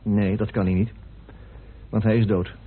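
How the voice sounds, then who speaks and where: Husky, indignant radio-drama man. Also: lowish voice, level tone, normal speed. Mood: grave, insistent. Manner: emphatic, accusing, rhetorical. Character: grim, indignant man bitterly revealing a hidden truth. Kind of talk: radio play acting. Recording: muffled, narrow old radio recording.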